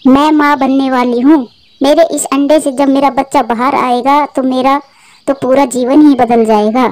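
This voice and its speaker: A woman playing a gentle, earnest young mother bird in a Hindi cartoon. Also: sits high, steady tone, normal speed, lightly acted. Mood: joyful.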